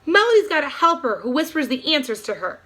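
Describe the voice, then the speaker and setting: A woman's voice: high-pitched, big pitch swings, fast talker, bright, forceful tone, pitched above the performer's own voice, heavily acted. A woman voicing a petulant, accusing schoolgirl in a storybook, whiny and indignant. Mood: angry.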